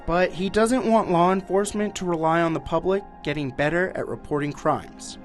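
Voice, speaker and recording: A man's voice: sits high, moderate pace, faint music behind. An American man narrating a scripted television news report as a reporter.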